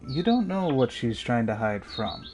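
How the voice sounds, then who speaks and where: A game master casually narrating a tabletop game in a halting, roundabout way. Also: mid-range voice, big pitch swings, background noise.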